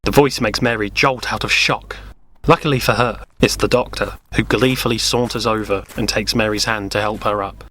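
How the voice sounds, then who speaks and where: A man's voice: lowish voice, steady tone, moderate pace, bright, forceful tone. A man narrating a scripted audio drama parody as its storyteller.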